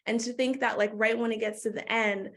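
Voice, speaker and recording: A woman from Canada, talking casually as a guest in an interview, full of "like" fillers and quick laughs. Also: on the high side, fairly even intonation. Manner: chatty, many "like" fillers, laughs often. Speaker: guest, doula.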